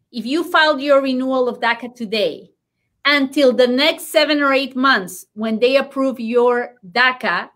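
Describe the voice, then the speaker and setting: A woman with a Spanish accent, a native Spanish speaker, answers interview questions as an immigration lawyer. She is emphatic and reassuring and hammers home key points in a somewhat high voice. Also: fairly bright tone. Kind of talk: explaining legal news in an interview.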